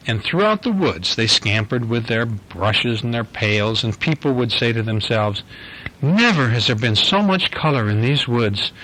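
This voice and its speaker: Gentle father reading a bedtime story. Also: lowish voice, rough recording. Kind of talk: reading a picture book aloud at bedtime. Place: home bedroom.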